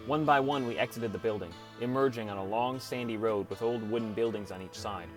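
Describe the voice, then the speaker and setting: Man as a wry, low-voiced teenage gamer narrator. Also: a little fast, lightly acted. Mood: reflective narration. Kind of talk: first-person audiobook narration. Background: music.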